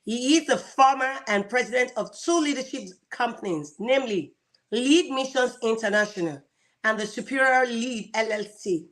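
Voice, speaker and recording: A woman's voice: fairly high pitch, normal speed, a bit bright, rough recording. Upbeat woman interview host. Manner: enthusiastic, laughs often. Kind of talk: interview introduction and questions.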